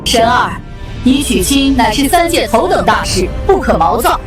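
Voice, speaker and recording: A woman's voice: on the high side, big pitch swings, moderate pace. A regal heavenly queen mother. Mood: commanding. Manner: stately, commanding, affectionate to son. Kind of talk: costume drama acting. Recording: echoey with loud music score.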